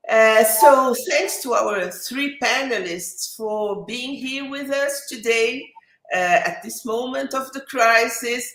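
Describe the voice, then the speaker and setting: A woman's voice: a little high, a bit bright. A woman with a Brazilian accent formally introducing a panel as seminar moderator on an online call. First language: Portuguese. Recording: echoey room on online call.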